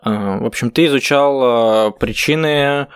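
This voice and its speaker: A man hosting a podcast, asking his guest calm, measured questions. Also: medium pitch, clear, resonant tone, no room sound, clean studio sound. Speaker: podcast host. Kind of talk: interviewing.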